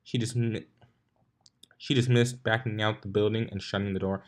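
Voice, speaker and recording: Medium-pitched narrator reading a story. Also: steady tone, normal speed, darkish tone. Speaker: fan fiction reader. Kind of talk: scripted story narration with stumbles.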